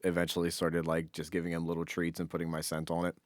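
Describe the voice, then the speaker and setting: A man's voice: low voice, monotone, fast, no room sound. A man co-hosting a podcast in casual, informal chat, with some offhand swearing. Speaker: podcast co-host.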